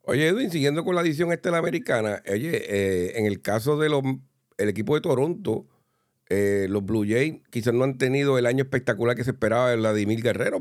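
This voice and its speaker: Radio host, conversational. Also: male voice, mid-range voice, dry recording, studio quality. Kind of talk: radio interview questions.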